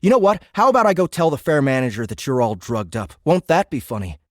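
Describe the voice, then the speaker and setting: A man's voice: medium pitch, big pitch swings, fast talker, no echo, clean studio sound, natural voice. An actor playing a sarcastic, indignant American teenage boy in an audiobook drama, talking in exasperated slang. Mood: angry, mocking.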